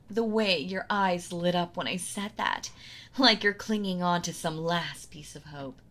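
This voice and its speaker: A woman's voice: fairly low pitch, exaggerated voice. A woman playing a possessive, sweetly cruel yandere wife in an intimate roleplay audio drama, gloating and mock-tender as she toys with her captive. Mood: mockingly cheerful. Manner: slow taunting delivery, breathy soft laughs, mock-tender.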